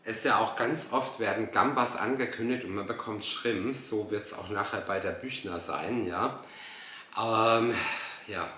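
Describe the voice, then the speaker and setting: Male reaction host, thirties, sardonic and exasperated, lower voice, some background noise. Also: unhurried, bright, forceful tone, roomy sound. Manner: exasperated, sarcastic. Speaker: host reacting to a TV dinner show. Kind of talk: casual reaction commentary.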